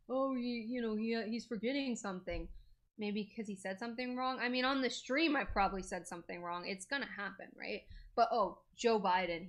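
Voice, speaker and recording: A woman's voice: medium pitch, a bit bright. A woman hosting a crypto video, chatting casually with lots of fillers and strong opinions. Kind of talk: casual commentary.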